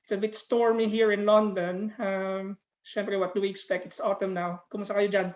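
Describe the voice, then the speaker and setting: A woman's voice: medium pitch, flat delivery, normal speed, darkish tone, lo-fi sound. A woman in middle age hosting a casual interview on a video call, chatty and friendly. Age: 40s or older. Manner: friendly, chatty, laughs often. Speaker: podcast host. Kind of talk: casual interview, mixing Tagalog and English.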